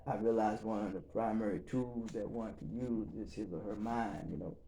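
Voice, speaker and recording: A man leading a recovery group session in a calm, measured way, with encouraging murmurs and thoughtful pauses. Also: lowish voice, a little hiss or hum. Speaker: facilitator and reverend.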